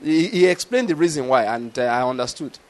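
A man's voice: mid-range voice, expressive, fairly quick. A middle-aged man preaching a sermon, telling stories and asking rhetorical questions. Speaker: pastor.

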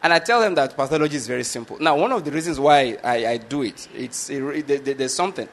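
A man's voice: mid-range voice, fast. A man from Nigeria with a Nigerian accent, a lecturer whose first language is Yoruba, telling a casual story with frequent chuckles and rhetorical questions to the listener. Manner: chuckling, rhetorical, often asks "you understand my point?". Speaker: medical lecturer.

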